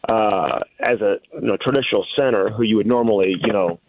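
A man's voice: fairly low pitch, fairly quick, dry recording, phone-quality sound. A man giving conversational, off-the-cuff sports analysis as a reporter guesting on a radio show. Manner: conversational, frequent "you know" and "listen".

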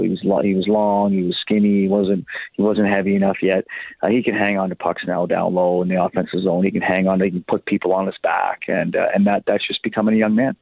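A man speaking as a hockey head coach in a radio interview, explaining in a halting way and repeating words as he goes. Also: fairly low pitch, creaky, dry recording. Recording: phone-like thin band-limited line.

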